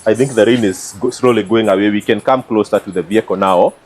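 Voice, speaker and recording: A man's voice: lowish voice, fast talker, a little mellow, slight background noise. A man presenting his vlog outdoors beside a car, talking chattily with many fillers. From Kenya. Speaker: vlog host.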